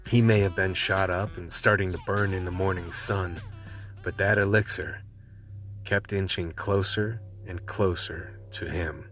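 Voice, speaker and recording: A low-voiced actor as a tough man tells of his fight with vampires as first-person radio drama narration. The delivery is noir storytelling, with clear music playing underneath. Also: steady tone, a little slow, fairly bright tone. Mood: grim, steady narration.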